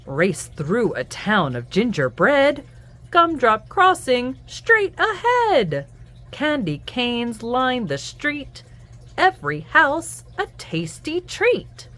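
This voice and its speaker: A woman reading a children's picture book aloud in an expressive, singsong storytelling manner. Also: on the high side. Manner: animated, singsong, deliberate storytelling. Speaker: children's book reader and host.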